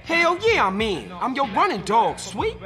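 High, swooping young gangster. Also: male voice, huge pitch swings, unhurried, crowd noise, heavily acted. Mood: loyal. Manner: blunt, slangy, emotional. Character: hot-headed young male gangster, loyal and wary. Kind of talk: video game cutscene acting. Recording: clear street and car noise.

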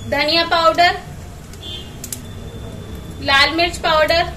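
A woman's voice: extremely high pitch, steady tone, slow, bright, forceful tone, far from the mic, a little hiss or hum. A woman explaining a recipe step by step as she cooks in her home kitchen. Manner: step-by-step instructing. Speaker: home cook vlogger. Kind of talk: cooking demonstration.

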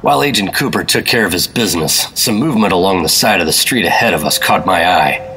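An actor playing a wry, steady government agent who narrates a 1950s radio drama in a dry, laconic way. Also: male voice, sits low, fast talker, a little hiss or hum, exaggerated voice, rough recording. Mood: wry, tense. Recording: voice over prominent background music.

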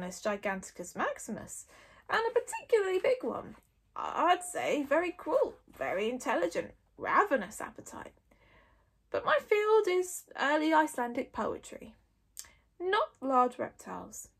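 A woman voicing a wry, learned old Viking man with an amused, unhurried, breathy manner in a storybook. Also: high-pitched, wildly swooping pitch, pitched above the performer's own voice, exaggerated voice. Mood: amused, dry. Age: older.

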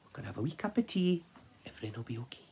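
A storyteller as a calm sister pig, hushed. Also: mid-range voice, rapid-fire, slightly rough, pitched above the performer's own voice. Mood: soothing. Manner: hushed, soothing.

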